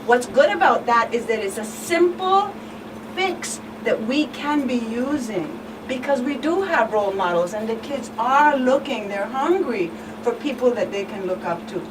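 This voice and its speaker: A woman speaking as a guest expert on a talk show, in a measured, emphatic, conversational way. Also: on the high side, animated. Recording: distant mic, clear background noise.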